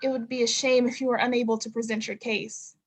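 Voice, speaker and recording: Woman acting as stern high-voiced samurai envoy. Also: level tone, fast talker. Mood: polite, formal. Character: stern, formal samurai envoy, loyal and reproving. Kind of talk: dramatic script reading.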